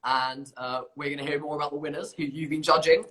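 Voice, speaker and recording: A man's voice: a little high, steady tone, quick pace, pushed and bright. A man hosting an informal live competition stream, chatty and self-deprecating, bumbling along as he presents. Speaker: competition livestream host.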